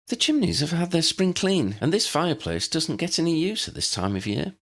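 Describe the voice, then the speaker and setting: A hospitable woman innkeeper played by a man talks in a lifted, animated pitch. She is friendly and obliging, in storybook style. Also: brisk, exaggerated voice. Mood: cheerful.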